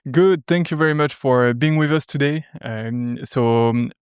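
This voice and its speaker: A French-accented man hosts a casual interview, friendly and a little hesitant, with easy laughter. His voice sits at a middling pitch, recorded close on a dry mic with no room sound. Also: normal speed, a little mellow, clear, resonant tone, dry recording. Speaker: podcast host.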